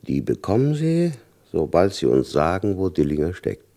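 An actor playing a hard, shrewd FBI chief in a German radio crime drama, condescending and insinuating, with a gravelly voice. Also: male voice, low voice, wildly swooping pitch, moderate pace, dark tone, heavily acted. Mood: insinuating pressure.

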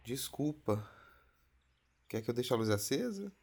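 A man's voice: mid-range voice, big pitch swings, measured pace, darkish tone, slightly rough, full character voice. A man with a Brazilian accent playing a weary, rattled man in a naturalistic horror audio drama. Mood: tired, casual.

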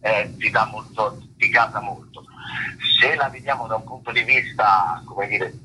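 A man giving unscripted interview answers as an actor, haltingly searching for words and rephrasing himself. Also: fairly low pitch, bright, forceful tone, a little hiss or hum, low-quality recording. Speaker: stage actor, interview guest.

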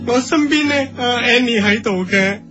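A man with a Hong Kong accent playing a proud, slow-witted TV host in his seventies in a comedy radio skit. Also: extremely high pitch, lo-fi sound. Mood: proud, cheerful. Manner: self-satisfied, slow-witted. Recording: music playing behind.

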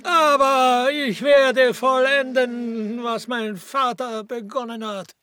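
Very high, strained commanding king. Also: flat delivery, slow pace, pushed and bright, full character voice. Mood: resolute. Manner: declamatory, commanding. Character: driven, demanding young warlord king rallying his men. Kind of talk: comic radio play acting.

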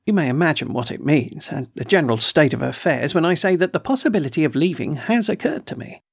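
A man reading aloud and voicing an excitable, blustering military colonel with emphatic outbursts. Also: a little high, fast, vocal fry, husky, no room sound, clean studio sound, heavily acted. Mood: agitated. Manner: agitated, emphatic, snorting outbursts. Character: blustering excitable middle-aged male colonel.

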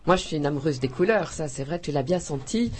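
A husky-voiced woman from Belgium describes her writing in a radio interview. She speaks with enthusiasm but stops and restarts often, and laughs easily. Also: low-pitched, animated, fast. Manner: warm, effusive, hesitant with many restarts, laughs often. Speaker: author.

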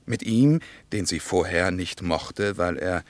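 An actor playing an impassioned, earnest storyteller in a radio play, speaking emphatically in rhetorical build-ups. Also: male voice, low voice, slow, dry recording. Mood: grave, intense. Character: impassioned male storyteller recounting army injustice, earnest and intense. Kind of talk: dramatic radio play monologue.

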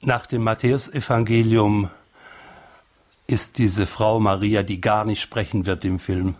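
A man's voice: lowish voice, steady tone, measured pace. A man giving spontaneous, reflective commentary on a film, ponderous and admiring, with philosophical phrasing. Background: loud music.

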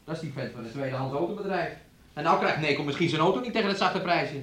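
A man's voice: a little high, animated, fast talker, fairly bright tone, a little hiss or hum. An actor in a radio play, playing a callous, impatient man who bullies his partner. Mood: sullen reproach. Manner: brusque, impatient, refers to himself in third person. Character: domineering, callous man bullying his sick woman partner.

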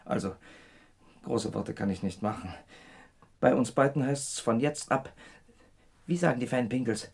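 Husky, gruff truck driver. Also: male voice, mid-range voice, normal speed, long pauses, a little mellow. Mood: gruffly affectionate. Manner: earthy, slangy, sardonic. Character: gruff injured truck driver, rough bachelor, grateful, salty-tongued. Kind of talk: vintage German radio crime play acting.

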